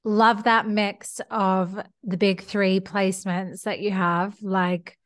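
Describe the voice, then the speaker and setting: A young woman from Australia hosts a podcast, enthusiastic and quick to praise her guest. Her pitch sits in a middle range. She talks in a loose, chatty style full of casual fillers. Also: little pitch movement. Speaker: podcast host. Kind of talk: casual interview chat.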